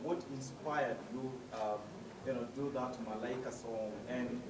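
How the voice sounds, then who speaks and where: A man in an audience asks informal questions in a halting, hesitant way. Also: mid-range voice, steady tone, noisy background. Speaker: audience member asking questions. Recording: distant event microphone, crowd behind.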